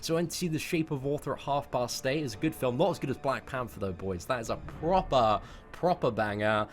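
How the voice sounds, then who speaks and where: A man's voice: medium pitch, expressive, a little fast. A man in his twenties telling a personal story to viewers in a casual, rambling, sweary way, repeating words for emphasis. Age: 20s. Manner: informal, sweary, rambling, emphatic word repetition. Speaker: YouTube content creator.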